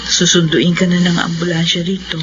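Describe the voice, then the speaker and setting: A woman's voice: on the low side, monotone, fairly quick, background noise, lightly acted. A Filipino woman playing a worried, devoted middle-aged wife and mother in a radio drama, pleading and consoling. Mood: anxious, consoling. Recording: muffled radio drama, loud music behind.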